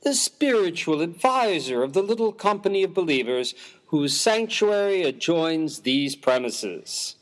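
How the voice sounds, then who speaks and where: A prim parson played by a man in a humorous story drama. He talks slowly and formally, choosing bookish words with pompous care, his pitch rising and falling expressively in bewilderment. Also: very animated, poor audio. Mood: bewildered, formal. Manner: formal, pompous, precisely worded.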